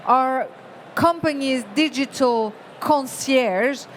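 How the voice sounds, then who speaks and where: A woman in her forties hosting on an expo hall stage, rousing the audience in a lively way. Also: high voice, slow pace, a little hiss or hum. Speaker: stage host. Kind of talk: event hosting.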